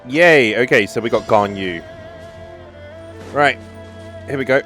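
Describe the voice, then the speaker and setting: A man's voice: mid-range voice, a little slow, fairly bright tone, soft background music. A man hosting a casual game playthrough, thinking aloud with small interjections as he plays. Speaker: gaming video host.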